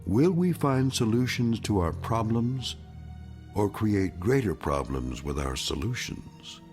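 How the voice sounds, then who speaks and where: Slow, low, ominous male narrator. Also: animated, darkish tone, faint music behind. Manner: ominous, measured. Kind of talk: scripted anthology drama narration.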